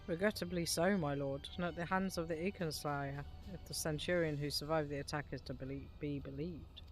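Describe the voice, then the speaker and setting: A woman's voice: low voice, fairly even intonation, fairly quick, lightly acted. Woman reading a deferential, grim imperial officer. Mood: grave, deferential. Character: deferential imperial officer grimly reporting to his lord. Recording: music behind the voice.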